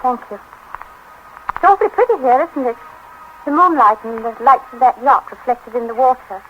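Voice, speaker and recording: An actress playing a polite, nervous, well-bred young wife making prim, hesitant small talk in a 1930s radio drama. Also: female voice, high-pitched, lively intonation, moderate pace. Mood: politely gracious. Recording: muffled 1930s radio broadcast, faint noise.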